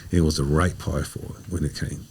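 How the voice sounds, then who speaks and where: A man's voice: a bass voice, little pitch movement, fairly quick, gravelly, a little mellow. An American man talking motivationally as a podcast guest, in an emphatic, preacherly way, often repeating phrases. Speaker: podcast guest, playwright and director. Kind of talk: motivational conversational talk.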